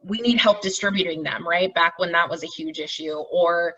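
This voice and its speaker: A woman's voice: fairly low pitch, normal speed, pushed and bright, slightly rough. A middle-aged woman facilitating a group call, explaining things informally and encouragingly and often checking in with listeners. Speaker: meeting facilitator, team lead. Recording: dull, distant video-call audio.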